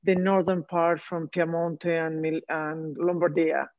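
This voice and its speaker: A woman's voice: deep voice, level tone, a little slow, no echo. A woman from Argentina with an Argentine Spanish accent, a participant on a video call, speaking hesitantly with many fillers. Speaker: course participant. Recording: dull, dry video call audio.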